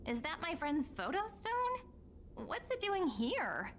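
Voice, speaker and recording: A woman voicing a young, plucky, curious game heroine who muses aloud in an expressive manner. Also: high voice, slow pace, exaggerated voice. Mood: playful, puzzled. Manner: expressive, musing aloud to herself.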